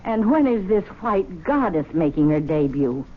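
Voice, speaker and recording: A woman's voice: fairly low pitch, very animated, normal speed. An actress playing an exasperated, disapproving older woman who questions and scolds in an old radio drama. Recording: tinny, narrow-band radio drama recording.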